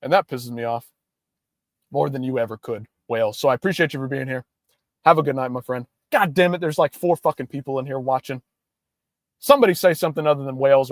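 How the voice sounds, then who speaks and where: A man's voice: a little high, no room sound, studio quality. A young man hosting a casual livestream, ranting in a hyped-up, profane way. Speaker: livestream host.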